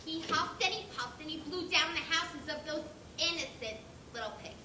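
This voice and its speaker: A woman's voice: sits high, moderate pace, close to an everyday voice. A young woman playing a goofy, naive pig juror in an amateur stage comedy, playful and simple-minded. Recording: distant, echoey, noisy stage recording.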